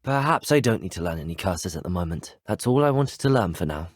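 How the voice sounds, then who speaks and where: An actor playing a polite, plucky teenage student wizard in a video game, with a British accent and a courteous, dryly wry delivery. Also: male voice, deep voice, expressive, a little fast, no room sound, studio quality. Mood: earnest, curious. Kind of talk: video game voice acting, short dialogue lines.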